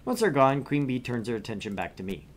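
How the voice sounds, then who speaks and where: A man's voice: medium pitch, sing-song, fast talker. A man co-hosting a gaming stream, reading a visual novel aloud with casual asides.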